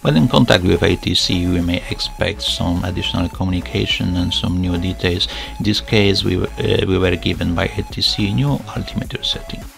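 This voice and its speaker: Male student-pilot narrator, explanatory, low and heavily fried voice. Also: wildly swooping pitch, normal speed, vocal fry, hardly any pauses, fairly bright tone, soft background music. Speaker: student pilot vlogger narrating own flight.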